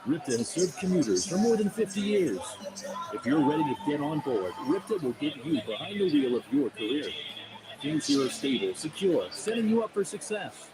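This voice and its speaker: A man's voice: fairly high pitch, sing-song, moderate pace, rough recording. A man reading a scripted radio commercial as an announcer. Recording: voice over loud music bed. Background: loud music.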